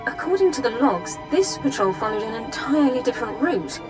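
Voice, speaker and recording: A woman's voice: on the high side, sing-song, fairly quick. Strict army sergeant lady, very by the book, game dialogue with music behind. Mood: brisk, analytical. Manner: formal, regimented, clipped military phrasing. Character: crisp, by-the-book female military sergeant, formal and dutiful. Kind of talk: video game character acting.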